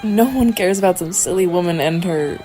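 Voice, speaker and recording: A woman playing a sly, carefree shapeshifting assassin in an audio drama, unhurried and dismissive. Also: on the low side, dark tone, soft background music, natural voice. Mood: breezy.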